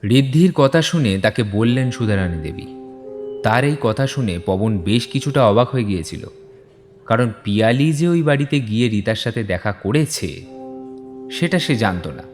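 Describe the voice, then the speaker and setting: A man's voice: mid-range voice, expressive, moderate pace. A man narrating a scripted audio story as its storyteller. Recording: long reverb on the voice. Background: faint music.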